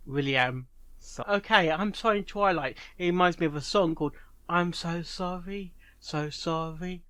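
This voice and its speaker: A man's voice: a little high, normal speed, bright, forceful tone, no echo. A man hosting a reaction video, making jokey, chatty asides about a story reading. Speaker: reaction host. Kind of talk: casual reaction commentary.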